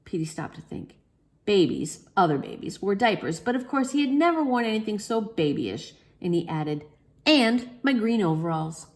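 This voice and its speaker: Animated woman reading a children's story. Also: medium pitch, normal speed.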